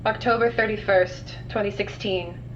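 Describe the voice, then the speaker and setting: A woman's voice: medium pitch, steady tone, normal speed, lightly acted. A woman playing a weary, sardonic scientist recording containment logs in an audio drama, dry and matter-of-fact. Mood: wry, bemused. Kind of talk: audio drama log narration. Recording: muffled, distant, noisy log with hum.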